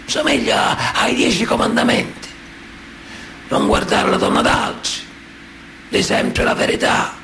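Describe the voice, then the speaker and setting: An actor playing a proud, ominous man of honour in a solemn, deliberate radio drama monologue. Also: high voice, animated, creaky, bright, forceful tone, slight background noise, exaggerated voice. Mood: solemn, ritual. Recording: music behind the voice.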